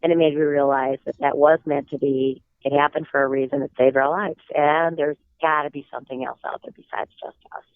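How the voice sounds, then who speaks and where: A woman in her sixties with a slight cold-hoarseness, telling personal stories in an earnest, reflective way as an interview guest. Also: deep voice, fairly even intonation, brisk, hardly any pauses, dry recording. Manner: earnest, reflective, with some hesitant fillers. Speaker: guest author. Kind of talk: interview storytelling. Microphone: telephone. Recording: tinny phone line.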